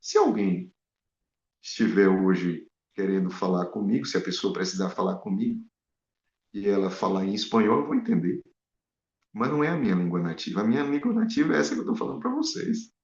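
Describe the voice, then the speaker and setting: A man preaching a Bible study sermon, animated and full of rhetorical questions to his listeners. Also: on the low side, a little fast, vocal fry. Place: in Spain. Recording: echoey, dull lo-fi room sound.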